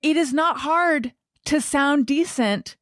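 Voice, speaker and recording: A woman's voice: high voice, slow, fairly bright tone, no room sound, studio quality. A woman hosting a podcast, chatting casually in a candid, blunt way with self-deprecating humour. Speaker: podcast host. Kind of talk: casual conversational podcast chat.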